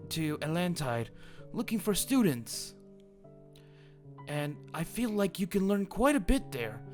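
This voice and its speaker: A man improvising a school headmaster in a game roleplay, explaining things at length in a chatty way. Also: on the high side.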